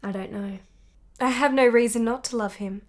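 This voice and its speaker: A woman playing a candid young wife who yearns for romance in a radio drama, speaking in measured, articulate, intense phrases. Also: fairly high pitch, natural voice. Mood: reflective.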